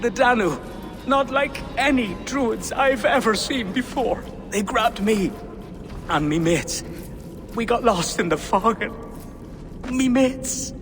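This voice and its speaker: A man's voice: very high-pitched, animated, slow pace, full character voice. An actor playing a frightened male prisoner, who pleads in panic. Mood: panicked. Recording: game audio with long reverb and music.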